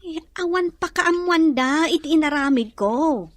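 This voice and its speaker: An actress playing an unrepentant, straying younger wife in an Ilocano audio drama, with an Ilocano accent. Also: female voice, high voice, unhurried, dry recording. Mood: defensive.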